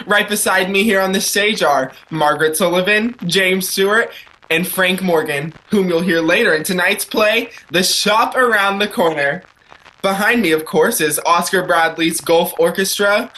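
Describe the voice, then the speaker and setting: A man's voice: high-pitched, sharp tone, low-quality recording. A man hosting a radio show in a formal, showy 1940s announcing style. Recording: crowd and background noise, clicks.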